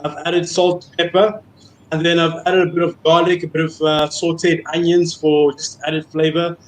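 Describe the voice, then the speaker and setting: A man's voice: on the high side, flat delivery, moderate pace, echoey room, slight background noise, low-quality recording. A man with a South African accent, a guest chef talking viewers through his dish over a laptop video call. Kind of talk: casual cooking demo on video call.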